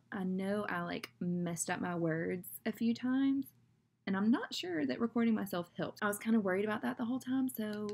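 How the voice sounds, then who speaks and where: A woman's voice: medium pitch, gravelly, darkish tone. Chatty young Southern woman vlogging. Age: young adult. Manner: chatty, rambling, fillers like "like". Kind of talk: casual talk to camera. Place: small room indoors.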